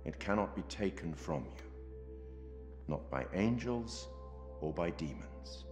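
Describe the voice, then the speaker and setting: Slow, deep, ominous enigmatic gentleman. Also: animated. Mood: solemn. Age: middle-aged. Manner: deliberate, ominous, measured. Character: enigmatic, composed middle-aged male narrator who taunts softly. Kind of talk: theatrical scripted monologue. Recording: echoey room under music.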